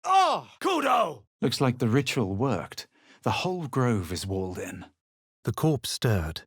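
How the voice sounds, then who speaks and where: An actor playing a haughty, self-assured male adventurer in a fantasy video game, speaking measured, formal scripted lines with a superior manner. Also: mid-range voice, wildly swooping pitch, a bit bright, husky, no room sound, clean studio sound, exaggerated voice. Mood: commanding, incanting, then composed, superior. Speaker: video game player character.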